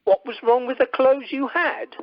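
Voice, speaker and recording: A woman's voice: high voice, steady tone, moderate pace, lightly acted. A woman playing a pompous, irritable middle-aged husband in an amateur radio comedy. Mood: irritated. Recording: dull, dry telephone conference line.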